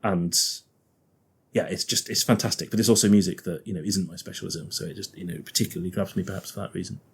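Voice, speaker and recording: A man's voice: low-pitched, steady tone, fast, breathy edge. A man with a Liverpool accent, a guest organist chatting casually on a podcast, often laughing and thinking aloud.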